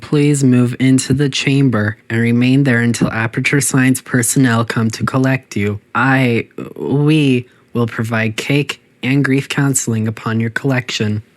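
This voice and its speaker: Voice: mid-range voice, steady tone, measured pace, natural voice. A performer voicing a polite but menacing artificial intelligence that runs test chambers, making formal, clinical, passive-aggressive announcements in a video game. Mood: cold, threatening. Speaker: facility announcer.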